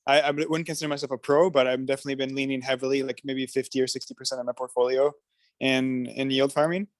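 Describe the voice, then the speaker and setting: Male meeting participant, informal, mid pitch, little variation, halting delivery. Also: little pitch movement, dry recording. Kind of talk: casual self-introduction.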